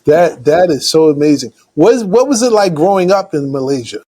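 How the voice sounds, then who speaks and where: A man's voice: on the high side, sing-song, a little fast, warm tone, slightly rough. A man hosting an interview show, asking questions hesitantly and often repeating his words. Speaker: show host.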